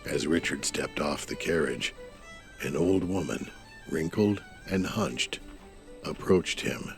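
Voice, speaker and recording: Audio drama narrator, male, deep with plenty of fry, measured scripted storytelling. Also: faint music behind.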